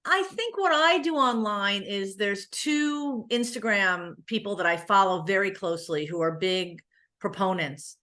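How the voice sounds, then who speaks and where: A middle-aged woman answering questions as a conference speaker in a conversational, animated, anecdotal way. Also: mid-range voice, measured pace. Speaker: guest speaker, physical therapist.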